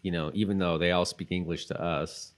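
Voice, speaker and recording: A man's voice: sits low, fairly even intonation, fairly quick. A man co-hosting a casual podcast about a TV show, thinking aloud in loose, rambling fragments. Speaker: podcast co-host. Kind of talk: casual chat, commentary on a TV show.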